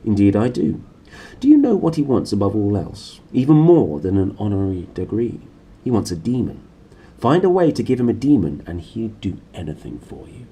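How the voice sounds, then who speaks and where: A man's voice: on the low side, big pitch swings, normal speed, warm tone, husky. A man voicing a worldly, assured professor in a storybook, emphatic and knowing. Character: opinionated male Arctic-travelled professor, worldly and assured.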